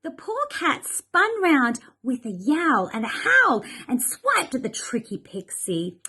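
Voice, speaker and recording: A female teacher reading a children's story aloud with expressive storybook narration. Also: sits high, takes it slowly, slightly rough.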